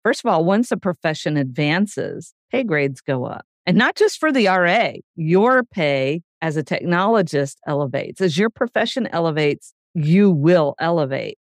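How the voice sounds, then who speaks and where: A woman's voice: low voice, animated, normal speed, few pauses, no room sound, clean studio sound. Emphatic woman co-host in her forties. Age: 40s. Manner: emphatic, laughs easily. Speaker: podcast co-host. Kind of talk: podcast conversation.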